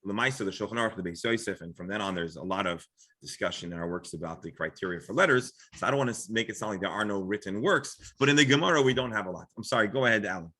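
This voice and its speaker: A man's voice: on the low side, animated, rapid-fire, bright, forceful tone. A man with an American accent, a rabbi explaining things informally in a class discussion, often restarting and qualifying his sentences. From Florida, United States. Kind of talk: informal religious lecture with discussion.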